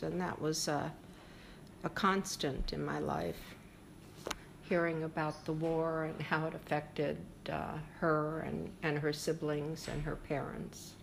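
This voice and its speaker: An older woman talks about her family's past in a slow, unhurried interview. Her voice sits fairly low and has a gravelly fry. She pauses often and fills the gaps with small hesitations. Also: noisy background. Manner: reflective, hesitant with frequent fillers. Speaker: interviewee sharing family history. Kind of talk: oral history interview.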